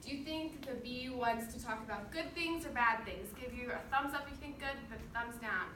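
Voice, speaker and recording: High-voiced woman reading aloud to a class. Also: normal speed, bright, forceful tone. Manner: encouraging, questioning. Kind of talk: interactive storybook read-aloud. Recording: roomy, distant microphone, clear background noise.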